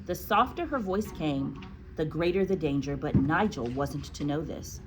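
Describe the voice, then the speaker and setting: A woman's voice: low voice, moderate pace, noisy background. A woman teacher reading a story aloud to children and chatting casually with them. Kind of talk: storybook narration with casual classroom chat.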